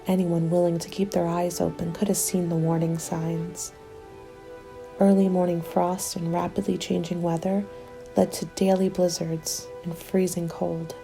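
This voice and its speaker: A woman playing a weary survivor of a zombie winter in a soft, breathy, confiding ASMR roleplay. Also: on the low side, flat delivery, measured pace, faint music behind. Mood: grim, worried.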